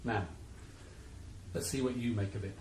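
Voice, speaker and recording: A man's voice: on the low side, steady tone, moderate pace, reverberant, background noise, lightly acted. An actor playing a smug, coolly threatening male cocaine dealer in a radio play, with a sneering manner. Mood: boastful. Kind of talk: 1980s radio drama acting.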